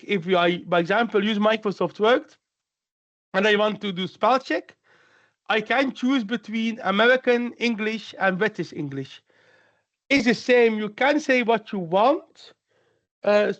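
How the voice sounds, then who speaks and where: Man, high voice, halting and restarting, muffled video-call audio. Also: a bit bright. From Belgium. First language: Flemish Dutch. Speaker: guest speaker. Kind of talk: casual webinar talk.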